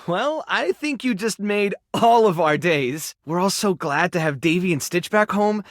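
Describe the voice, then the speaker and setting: A male actor in a dating video game playing an earnest, tender, awkward keeper of an animal rescue, sincere and prone to halting rambles. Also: high-pitched, lively intonation, no echo, clean studio sound. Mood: warm, happy. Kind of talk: video game character voice acting, dating simulator.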